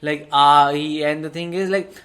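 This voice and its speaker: A man's voice: on the high side, fairly even intonation, normal speed, a bit bright. A young Indian man with an Indian accent explaining his views earnestly in casual chat. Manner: earnest, explanatory, says "like" often. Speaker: reaction video co-host.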